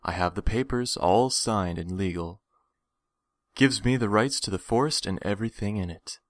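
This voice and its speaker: A man playing a greedy, condescending villain in an audio drama, with theatrical, drawn-out phrasing. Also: lowish voice, no echo, natural voice. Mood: smug. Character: menacing, greedy, smooth-talking villain stranger, adult man.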